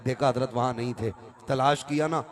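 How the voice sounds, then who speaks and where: A man's voice: mid-range voice, fairly even intonation, normal speed. A man delivers a conversational religious sermon to a live gathering, urging listeners to respond and at times reciting verse. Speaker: Islamic preacher.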